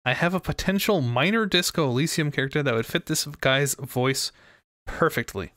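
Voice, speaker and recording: A man's voice: fairly high pitch, lively intonation, moderate pace, fairly bright tone, no room sound, studio quality. A man streaming a courtroom game, reading its lines aloud and joking between them with frequent laughter. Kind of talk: let's play commentary.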